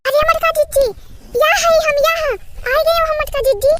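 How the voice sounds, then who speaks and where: A woman voicing an excitable, chatty village friend with an Awadhi accent, making eager singsong excuses in a comedy skit. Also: very high voice, fairly quick, slight background noise. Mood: excited. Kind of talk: rustic comedy skit acting.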